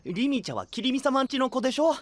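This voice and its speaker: Breezy, animated young knight. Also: male voice, very high voice, fast, no echo. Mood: teasing. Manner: casual, slangy, easygoing. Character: breezy, trendy, casual young male knight. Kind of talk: anime-style audio drama acting.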